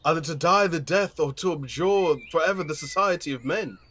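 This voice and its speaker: An actor playing a stately duke passing judgment, speaking Shakespearean verse in a formal, measured, authoritative manner. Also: male voice, fairly high pitch, a bit bright. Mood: grave, advising. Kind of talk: Shakespearean verse audio drama acting.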